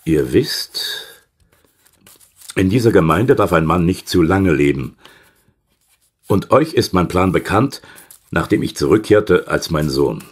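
A man playing a sinister, centuries-old occultist in an audio drama, speaking in formal, archaic, deliberate diction. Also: low-pitched, lively intonation, slightly rough, exaggerated voice. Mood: sly, conspiratorial. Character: sinister centuries-old male occultist dictating a secret letter. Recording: music behind the voice.

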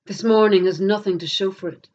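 A middle-aged woman with an Irish accent, a poet reading verse aloud in a measured, deliberate way. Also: mid-range voice, darkish tone. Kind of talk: poetry reading. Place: plain indoor room against a bare wall. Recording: dull lo-fi camera sound.

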